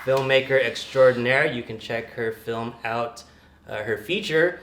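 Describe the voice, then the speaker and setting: A man co-hosting a podcast, dry and low-key, hesitating through his introductions. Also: a little slow. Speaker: podcast co-host. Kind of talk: casual podcast chat. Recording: echoey room, faint noise.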